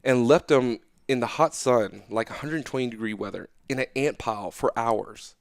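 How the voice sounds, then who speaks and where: A young man talking casually as an interview guest, animated and loose, often checking in with "right?". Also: medium pitch, normal speed, creaky, no echo, clean studio sound.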